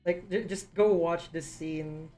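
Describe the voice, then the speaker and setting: A woman's voice: fairly low pitch, slow. Woman hosting a chatty review, laughs a lot, trips over her words, faint music under it. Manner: excitable, laughs often, many restarts. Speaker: host reviewing a series. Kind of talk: casual chat.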